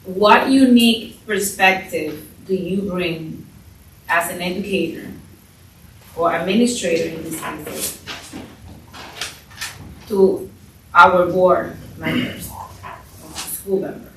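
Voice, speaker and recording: A woman's voice: lowish voice, slow, pauses often, fairly bright tone. A woman moderating a public meeting, reading out a question to the panel. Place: indoor council meeting room. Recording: echoey room, distant mic, faint noise.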